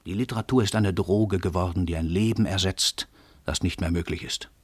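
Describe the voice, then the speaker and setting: An actor playing a world-famous crime writer, an arrogant, cynical murderer who declaims in a domineering, emphatic way in a radio drama. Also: male voice, low-pitched, fairly quick, slightly rough, no echo. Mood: impassioned. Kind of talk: theatrical German radio drama monologue.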